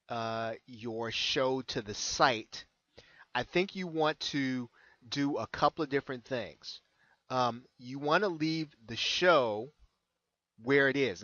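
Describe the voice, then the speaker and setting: An American man talks through an unscripted on-screen tutorial in a mid-pitched voice. He is hesitant, circling back and repeating phrases as he explains. The sound is dry and close, with a dull, lo-fi edge. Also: fairly bright tone, no room sound. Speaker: online marketing consultant giving a tutorial.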